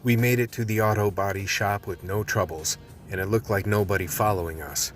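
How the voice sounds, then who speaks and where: A man acting as a tough action hero telling his story in a radio play. His voice is low and the delivery is gritty and plainspoken, like someone recounting a fight he survived. Music runs underneath the narration. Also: fairly even intonation, fairly quick, far from the mic, close to an everyday voice. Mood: weary, grave.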